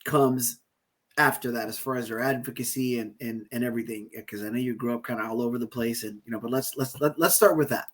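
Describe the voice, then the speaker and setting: A man hosting a casual interview, haltingly repeating phrases as he steers the conversation. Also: mid-range voice, steady tone. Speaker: podcast host.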